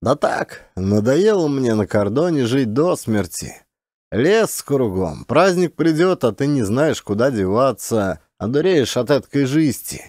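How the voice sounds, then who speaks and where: A man's voice: mid-range voice, very animated, a little slow, darkish tone, no echo, clean studio sound. A man voicing a brash, idle, round-faced peasant from a forest post, grumbling bluntly in folksy rural speech for an audiobook. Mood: grumbling, self-justifying.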